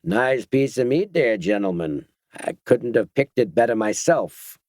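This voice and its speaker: A menacing biker gang leader, put on by a male narrator, who speaks in a falsely soothing, mock-polite drawl with rough, ungrammatical phrasing. The voice sits at a middling pitch and sounds dry and close, with no room around it. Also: lively intonation, a little slow, no room sound, natural voice. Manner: falsely gentle, folksy ungrammatical speech.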